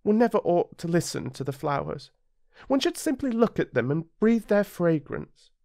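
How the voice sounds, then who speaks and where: A man's voice: high-pitched, very animated, moderate pace, creaky, warm tone, pitched above the performer's own voice, full character voice. A British man voicing a tender, earnest young boy prince with a wistful manner. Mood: regretful.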